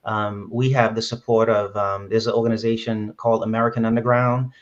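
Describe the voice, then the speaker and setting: A man's voice: on the low side, flat delivery, brisk. A man from Brooklyn, an app founder, answering interview questions earnestly with many fillers and restarts. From Brooklyn, New York. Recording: dull lo-fi sound.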